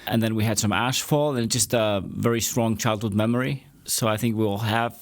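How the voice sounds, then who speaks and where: A man's voice: lowish voice, fairly even intonation, normal speed, dry recording, clean studio sound. A man co-hosting a podcast, friendly and joking, with hesitant fillers. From northeast Iceland. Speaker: podcast co-host. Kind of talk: casual interview conversation.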